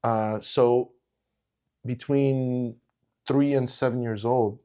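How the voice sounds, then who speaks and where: A man's voice: mid-range voice, fairly even intonation, slow pace, dark tone. A middle-aged man born in Portugal, a former teacher talking in a casual conversation, emphatic and given to repeating words as he builds a point.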